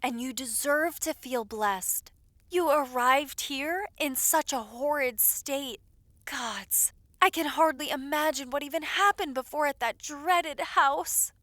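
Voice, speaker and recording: A woman playing a caring, loyal lady's maid in an audio roleplay, attentive and gently scolding with polite familiarity. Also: high voice, slow, dry recording, exaggerated voice. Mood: protective.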